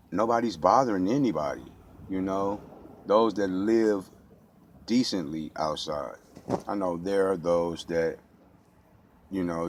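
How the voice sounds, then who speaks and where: A man's voice: low-pitched, slight background noise. An American man speaking off the cuff in an impassioned monologue, blunt and streetwise, with slang and heavy swearing. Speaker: local resident speaking out.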